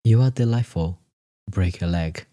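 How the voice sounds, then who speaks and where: A man's voice: low voice, unhurried, warm tone. A man voicing a friendly, effusive woman makeup artist in a story narration. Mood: encouraging.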